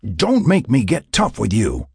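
A gruff, hot-headed male hunter and settler in an audiobook, acted by a male narrator. He is folksy and argumentative, with a raspy voice that swings widely in pitch. The sound is dry. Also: sing-song, normal speed, a little mellow, dry recording, full character voice. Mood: angry, threatening. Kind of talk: audiobook character dialogue.

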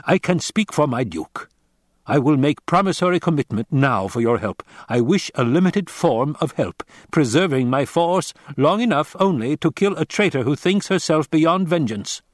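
Man doing a tired guarded old soldier-adviser, clipped tense lines, a bit higher than the narration, dry sound. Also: lively intonation, normal speed, no room sound. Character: weary, guarded older male military adviser. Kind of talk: audiobook character voice.

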